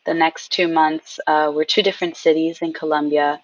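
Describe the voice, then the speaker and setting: Animated woman on a dull call line. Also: sits low, normal speed, dry recording, far from the mic. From Queens, New York. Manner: animated storyteller, acts out quotes. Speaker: guest, game company founder. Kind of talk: casual interview chat.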